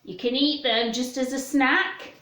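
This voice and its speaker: A woman presenting a children's storytime, chatting in a gentle sing-song way and putting questions to young listeners. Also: sits high, steady tone, unhurried. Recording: roomy, echoing room sound.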